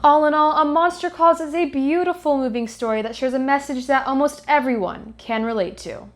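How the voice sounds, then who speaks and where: Young woman, high voice, student news reporter, scripted narration. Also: brisk.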